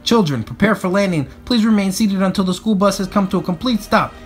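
A man voicing a brisk female schoolteacher who announces and instructs her class in a storybook. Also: high voice, soft background music, pitched above the performer's own voice, natural voice. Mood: announcing. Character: brisk adult female schoolteacher leading excited class trip.

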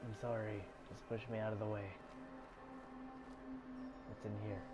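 A young man with a congested voice giving casual, swearing commentary while playing a game, mostly low and mumbled with sudden loud outbursts. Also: flat delivery, measured pace, heavy background noise. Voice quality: congested, stuffy. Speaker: gamer playing a shooter game.

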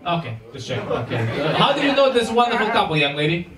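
A man's voice: high voice, fast. A man in his thirties doing stand-up crowd work on a small club stage, teasing the audience into a handheld mic. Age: 30s. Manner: teasing, animated banter with audience. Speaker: stand-up comedian. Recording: echoey club recorded from far off with crowd noise. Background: audience laughter.

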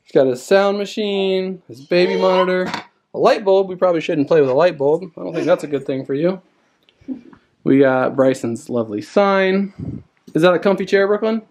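A man's voice: high voice, normal speed, darkish tone. An American man in his thirties, a father chatting casually and playfully to his kids as he narrates for a home vlog. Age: 30s. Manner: playful, coaxing parent talk. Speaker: father hosting a family vlog. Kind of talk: casual narrated house tour, chatting to children. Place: indoors, rooms of a family house. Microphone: handheld camera at arm's length. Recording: noisy handheld home video.

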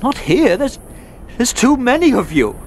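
An actor playing a guilt-ridden knight in an audio drama, muttering haltingly to the battlefield dead. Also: male voice, extremely high pitch, very animated, full character voice. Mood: agitated, apologetic. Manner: halting, muttering, talking to unseen listeners. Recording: noisy outdoor soundscape with crows.

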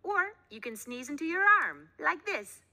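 Woman as a bright, emphatic storybook schoolteacher. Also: high-pitched, big pitch swings, moderate pace, pitched above the performer's own voice, exaggerated voice. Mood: instructive. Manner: clear instructing, emphatic. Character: patient, instructive female schoolteacher in a storybook.

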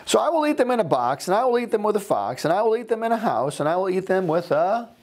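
A man's voice: high voice, big pitch swings, quick pace, darkish tone, voice pushed up, heavily acted. A man reading a storybook as a grumpy old creature turned delighted, exclaiming in bouncy rhyme. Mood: delighted, grateful.

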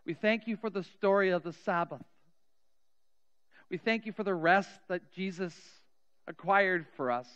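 A middle-aged man preaching as a pastor in a church sanctuary, telling a story earnestly and slowing down with soft, trailing endings. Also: high voice, clean tone, highs cut off. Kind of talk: sermon storytelling and spoken prayer. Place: large church sanctuary, indoors. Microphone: cheek headset mic.

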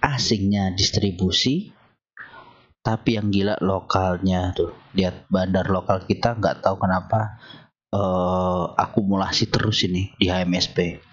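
A hoarse man explains stock charts in a relaxed, conversational way, with many fillers. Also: low voice, measured pace, lo-fi sound. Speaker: stock market analyst. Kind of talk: screen-share chart walkthrough.

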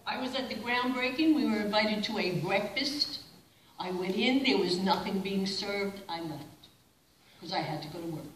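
A woman's voice: medium pitch, normal speed. Distant woman in an echoing hall. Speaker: council member. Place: large council chamber, indoors. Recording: distant, echoey hall with faint noise.

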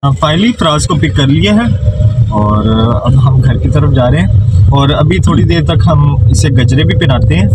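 Casual young male vlogger, playful, selfie phone in a car, heavy road rumble. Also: expressive, a little slow. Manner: chatty, playful, addresses viewers. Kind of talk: casual vlog narration. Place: inside a moving car. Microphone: phone held at arm's length. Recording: loud road noise from a car.

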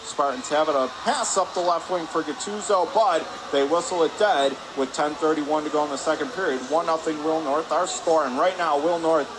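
A man's voice: fairly high pitch, normal speed, hardly any pauses. A man doing live radio play-by-play of ice hockey, in clipped running phrases that rise with the action. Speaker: play-by-play announcer. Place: indoor ice rink stands. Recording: clear rink noise behind.

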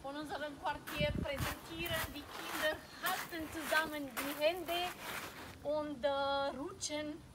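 A woman's voice: high-pitched, fairly even intonation, measured pace, very noisy. A middle-aged woman, not a native German speaker, giving an improvised tour of a school playground with many fillers and self-corrections, recorded outdoors on a phone. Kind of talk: improvised walking presentation.

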